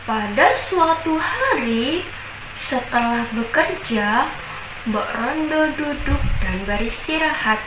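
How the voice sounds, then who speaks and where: A young woman telling a folk tale at an unhurried, sing-song pace, her high voice rising and falling with expression. She sounds set back from the mic in an echoey room, with steady noise behind her. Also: recorded from a distance. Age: young adult. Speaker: university student.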